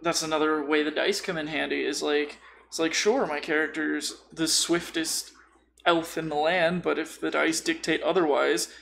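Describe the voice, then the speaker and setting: A man's voice: a little high, steady tone, moderate pace, gravelly, fairly bright tone, slight background noise. A man in casual podcast conversation, explaining tabletop role-playing in a blunt, joking way. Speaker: podcast speaker.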